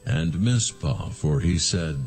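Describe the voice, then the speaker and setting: A man narrating scripture from a script in a measured, solemn manner. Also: low voice, expressive, a little mellow, faint music behind.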